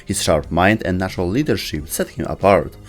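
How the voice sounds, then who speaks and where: Male explainer narrator, lower register, animated delivery, scripted read over a music bed. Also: a little fast. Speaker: narrator of a video game lore explainer. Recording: music under the voice.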